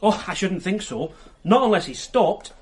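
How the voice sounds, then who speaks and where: An actor playing an eager, matter-of-fact young police constable in a radio drama. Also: male voice, sits high, animated, moderate pace, slightly rough.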